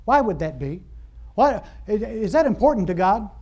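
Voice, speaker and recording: A man delivering a sermon in a rambling, chatty way, often restarting his sentences and stopping to ask his listeners questions. His voice sits high for a man, and he builds to excited, exclaiming stretches. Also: moderate pace, highs cut off. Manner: rambling, conversational, frequent restarts and fillers. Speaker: pastor.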